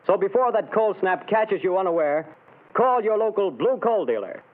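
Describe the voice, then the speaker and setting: A male announcer with a high, bright voice reads a commercial earnestly and emphatically. The sound is narrow and tinny, like an old 1930s radio broadcast. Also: expressive, normal speed. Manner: earnest, emphatic sales pitch. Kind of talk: commercial read.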